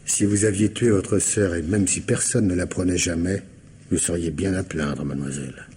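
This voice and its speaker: Actor as low-voiced, probing police commissioner. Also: male voice, moderate pace, mellow, close to an everyday voice. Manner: insistent, hesitant feints while questioning. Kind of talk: French radio mystery drama acting. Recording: noisy old radio drama recording.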